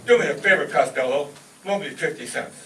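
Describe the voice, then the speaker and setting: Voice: mid-range voice, a little fast, fairly bright tone, faint music behind, lightly acted. A performer playing a slick, unflappable male straight man in a vaudeville-style radio comedy routine. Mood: slick, insistent. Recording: echoey distant mic, noisy live stage.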